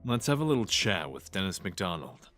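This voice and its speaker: A man's voice: low voice, very animated, normal speed, fairly bright tone, faint music behind. A man playing a blunt, suspicious police inspector in an audio crime drama. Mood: businesslike, suspicious.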